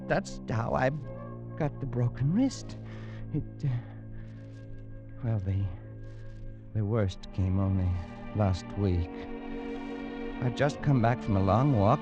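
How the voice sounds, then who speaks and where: An actor playing a nervous, haunted adventurer who narrates his torment in an agitated, stammering way, in old-time radio drama style. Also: mid-range voice. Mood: uneasy, faltering. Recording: dull vintage radio recording, music behind. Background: dramatic music.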